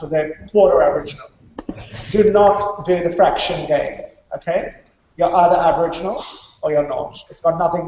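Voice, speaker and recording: A man's voice: on the high side, dark tone, a little hiss or hum. A man from India presenting at a conference, didactic, stressing key points and checking that listeners follow. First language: English. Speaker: conference speaker. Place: large reverberant conference room. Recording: very echoey hall, dull muffled sound.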